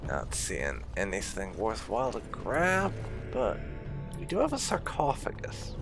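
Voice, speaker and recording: A man's voice: lowish voice, huge pitch swings, unhurried. A man giving casual gameplay commentary in a wry, understated manner. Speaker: gaming channel host. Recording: game music loud behind voice. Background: game music.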